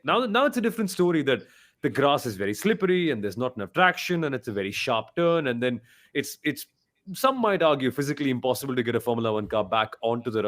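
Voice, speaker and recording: A man's voice: mid-range voice, a bit bright. A man giving blunt, emphatic opinions as a panelist on a motorsport podcast, often repeating himself for emphasis.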